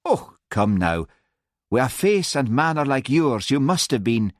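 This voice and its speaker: A Highland Scottish policeman, played by a male audiobook reader, light and pleasant on the surface but softly cutting. The voice is lifted and lilting, with lively pitch and a dry, close sound. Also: measured pace, dry recording. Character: easygoing Highland policeman, politely and dreamily insulting.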